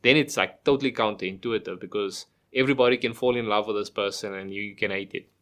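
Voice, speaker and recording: A man's voice: fairly low pitch, quick pace, a bit bright. A middle-aged man, a podcast guest, talking casually and explaining with everyday examples, restarting phrases and asking rhetorical questions. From South Africa.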